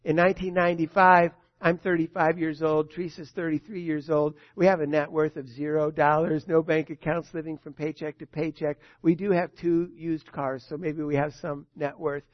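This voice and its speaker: A man in his sixties preaches a sermon in a voice set a little high. He tells his stories in big dramatic swells and then drops to a hush. The sound is dull and very dry. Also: little pitch movement, normal speed, hardly any pauses, no room sound. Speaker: pastor.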